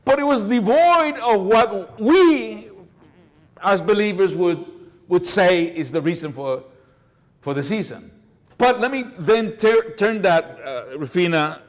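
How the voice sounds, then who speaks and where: Older guy giving a seminar talk, pretty high voice, really emphatic, repeats stuff for effect. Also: a little slow. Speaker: guest speaker, educator. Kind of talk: public seminar lecture. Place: lecture hall, at a podium. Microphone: podium microphone.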